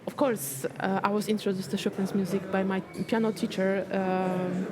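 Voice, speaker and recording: A woman's voice: medium pitch, level tone, normal speed. Composed young woman with a Polish accent. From Poland. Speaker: pianist guest. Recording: crowd murmur behind.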